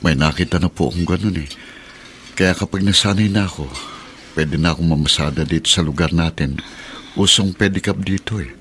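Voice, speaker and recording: A humble, determined father who speaks gratefully to his mother. His voice is low, in radio drama style, over light noise. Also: fairly quick, fairly bright tone. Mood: hopeful.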